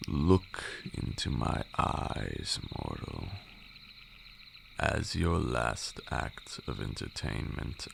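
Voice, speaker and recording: A man playing a commanding vampire lord and magic mentor in a roleplay ASMR, with a gravelly voice and a deliberate, dryly sarcastic manner. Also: noisy background, heavily acted. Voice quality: gravelly, very deep, breathy at times. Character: stern, teasing old male vampire lord, mentor in magic.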